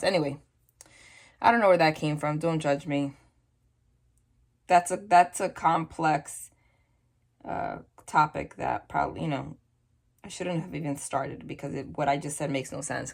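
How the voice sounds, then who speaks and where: A woman with a New York accent reads tarot cards at a table and talks in a loose, rambling way, restarting often. Her voice sits low, with a creaky, gravelly texture. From New York / New Jersey area. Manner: chatty, rambling, many restarts and asides. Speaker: tarot reader. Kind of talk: casual tarot reading chat. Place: indoors at a table, hands over cards.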